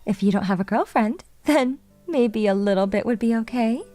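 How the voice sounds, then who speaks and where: A woman's voice: fairly high pitch, normal speed, mellow, natural voice. A woman voicing a sweet, polite young female idol with an earnest, coaxing way of talking, in a manga dub. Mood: teasing. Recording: music under the voice.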